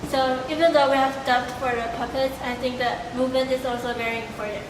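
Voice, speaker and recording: Young woman, interview answers, higher pitch, roomy echo, noisy recording. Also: steady tone, fairly quick. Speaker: student puppeteer being interviewed. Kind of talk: informal interview reflection. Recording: echoey, distant, noisy room.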